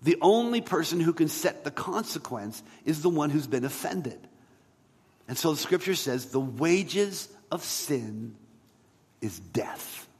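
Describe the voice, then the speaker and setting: A man preaching a sermon as a pastor, in a conversational way with rhetorical questions and emphatic pauses. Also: fairly high pitch, expressive, a little mellow, breathy edge. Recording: long hall reverb.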